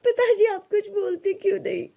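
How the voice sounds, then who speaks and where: Woman playing a meek, pleading young girl, very high. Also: fairly even intonation, normal speed, gravelly, mellow. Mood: tearful protest. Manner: pleading, plaintive. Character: meek, wronged young stepdaughter, pleading and dutiful.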